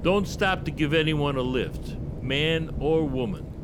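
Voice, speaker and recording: An actor playing a friendly, protective highway trooper giving plain-spoken advice in an old-time radio drama. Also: male voice, unhurried, lightly acted. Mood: helpful, cautioning. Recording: noisy vintage radio, vehicle sounds behind.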